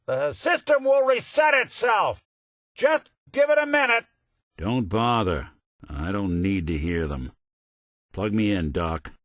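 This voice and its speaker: A man's voice: sits low, slow pace, dry recording, studio quality. A male actor playing a weary old android detective in a video game, talking in wry, slangy, hard-boiled noir style.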